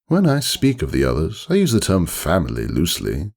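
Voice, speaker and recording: Casting: man to play haughty noble unicorn, storybook narrator, low register, sweeping intonation, theatrical and brooding. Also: wildly swooping pitch, fairly quick, vocal fry, a little mellow, slightly rough, exaggerated voice. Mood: wistful, formal. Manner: pompous, wordy, theatrical, ruminative. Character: haughty, melancholy aristocratic male unicorn narrating his story. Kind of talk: dramatic storybook reading, first-person monologue.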